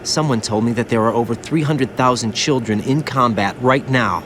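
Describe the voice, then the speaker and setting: An actor playing a haunted young soldier and former child soldier, bitter and guilt-ridden, in an anguished video game cutscene. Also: male voice, mid-range voice, normal speed, background noise, lightly acted. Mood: subdued. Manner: anguished, confessional, brooding. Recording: music behind the voice.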